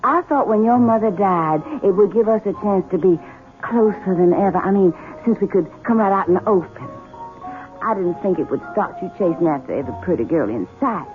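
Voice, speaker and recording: A woman's voice: mid-range voice, animated, a little fast, natural voice. Through a dull, lo-fi radio sound with music behind comes a coy Southern belle, played by a woman. She coaxes and flirts in a drawl, sweetly possessive. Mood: coy, coaxing. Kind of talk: radio drama acting.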